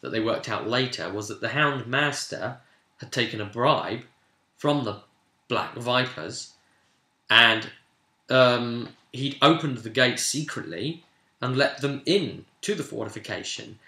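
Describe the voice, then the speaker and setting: A man's voice: mid-range voice, unhurried, sharp tone. A man casually recapping a tabletop role-play session as game master, telling it like a story and breaking off into asides. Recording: roomy, echoey room sound.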